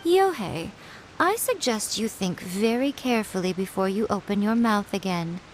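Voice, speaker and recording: A woman voicing a sly fox-spirit shrine priestess in a video game, with a silky, mocking, unhurried delivery. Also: mid-range voice, animated, a little hiss or hum, natural voice, poor audio. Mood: probing.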